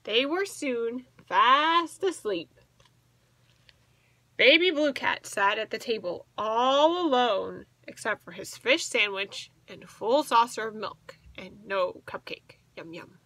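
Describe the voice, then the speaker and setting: A woman in her thirties reading a storybook aloud to children as a teacher, expressive and asking the listeners questions. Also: fairly high pitch, takes it slowly, no room sound. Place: small indoor room with bookshelves.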